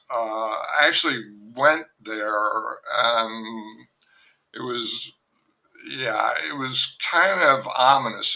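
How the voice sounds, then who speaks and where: An older man, a novelist, talking through his book in a relaxed, thoughtful interview, pausing often and restarting sentences. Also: mid-range voice, vocal fry, poor audio. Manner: unhurried, thoughtful, with frequent fillers and restarts. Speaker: novelist guest. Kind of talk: reflective conversational interview.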